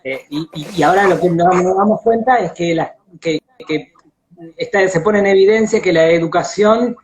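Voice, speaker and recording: An Argentine man in a casual live online discussion, halting and repeating words as he searches for phrasing, on lo-fi stream audio. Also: high voice. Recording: dull lo-fi internet stream audio.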